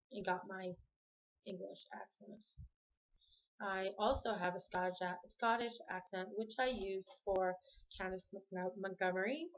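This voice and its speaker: A woman's voice: medium pitch, dull audio. A woman from Canada talking casually to camera at home, rambling and often correcting herself. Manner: rambling, self-correcting, chatty. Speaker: vlogger. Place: home room with the TV on.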